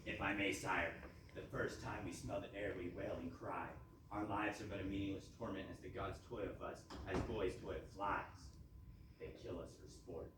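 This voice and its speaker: A man's voice: deep voice, fairly even intonation, moderate pace, lightly acted. A man acting a dutiful, gloomily philosophical royal servant in a live readers theatre comedy. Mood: gloomy. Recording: echoey room, distant mic, noisy.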